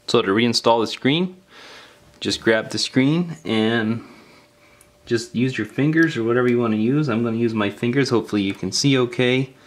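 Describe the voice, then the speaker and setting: A man giving casual, step-by-step hands-on instructions in a plain-spoken, informal way. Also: mid-range voice. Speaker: repair tutorial host.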